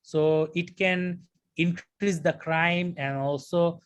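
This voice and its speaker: Hesitant Bangladeshi man, higher voice. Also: steady tone, slow, smooth. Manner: hesitant, many fillers and restarts. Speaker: test candidate. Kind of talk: mock speaking exam answers.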